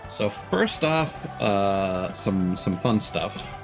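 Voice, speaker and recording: A man talking casually as a live streamer, halting and hesitant as he thinks aloud. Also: fairly low pitch, animated, music in the background. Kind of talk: casual livestream chat.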